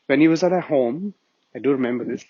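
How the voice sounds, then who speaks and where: A man's voice: medium pitch, fast talker, slightly rough, no room sound. A middle-aged man giving an earnest, reminiscing memorial tribute as a family friend, often restarting his sentences. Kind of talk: personal spoken tribute. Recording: dull, muffled audio.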